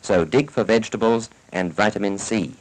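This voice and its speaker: A man's voice: lowish voice, moderate pace, a little hiss or hum, poor audio. A man with a British accent giving a formal, instructive scripted narration in the style of a 1940s educational film, on a vintage soundtrack. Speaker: narrator of a public information film.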